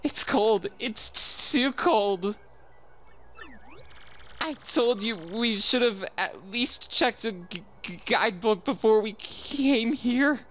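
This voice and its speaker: A man doing a trio of freezing female bomb creatures from a cartoonish game, whining in a high, squeaky Russian accent with chattering teeth. The voice is bright and lively, and the pitch swoops up and down. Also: slow, slight background noise, exaggerated voice. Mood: whiny cold. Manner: whiny, teeth-chattering complaints.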